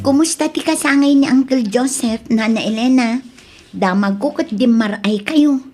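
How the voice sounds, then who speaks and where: A woman's voice: fairly high pitch, moderate pace, loud music behind the voice, lightly acted. A woman acting an earnest, protective adult daughter in an Ilocano-accented radio drama. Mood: curious, concerned. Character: protective, indignant adult daughter fretting over her father.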